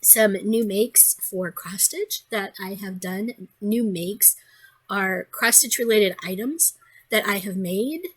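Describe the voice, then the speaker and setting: Woman, hoarse, stuffed-up, husky voice, casual crafting vlog, rambling chatter. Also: medium pitch, takes it slowly, a bit bright. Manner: rambling with frequent ums and restarts. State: hoarse and congested. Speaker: crafting vlogger.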